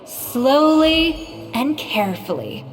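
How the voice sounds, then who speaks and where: Exacting young chef, higher-pitched. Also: female voice, sing-song, slow pace, big-room sound, faint music behind, full character voice, rough recording. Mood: strict, careful. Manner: precise, poised, instructive. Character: exacting, confident young female chef with high standards. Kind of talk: video game voice acting.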